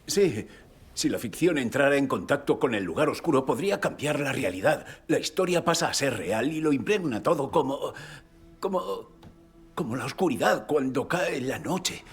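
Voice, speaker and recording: A man's voice: on the low side, animated, measured pace, slightly rough, soft background music, exaggerated voice. An actor with a Castilian Spanish accent playing a haunted male novelist with a fractured memory, intense and grave, in a dubbed video game. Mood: urgent, agitated. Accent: Spanish (Spain). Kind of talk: dramatic video game dubbing.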